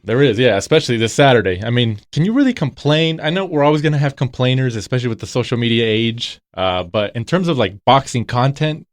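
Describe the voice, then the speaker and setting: A man hosting a casual podcast, relaxed and full of fillers, often echoing his co-host's words. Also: mid-range voice, fast, hardly any pauses, clean studio sound. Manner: relaxed, filler-heavy, repeats others' phrases. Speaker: podcast host.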